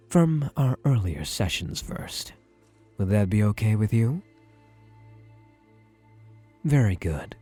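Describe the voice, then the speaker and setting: A man acting as a possessive male psychiatrist in an ASMR roleplay, with a low, husky voice. He speaks in measured, soothing clinical phrases with a widely moving melody, sounding quietly self-assured. Faint music plays underneath. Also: exaggerated voice. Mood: calm, reassuring. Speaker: roleplay voice actor. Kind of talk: ASMR roleplay, scripted monologue to the listener.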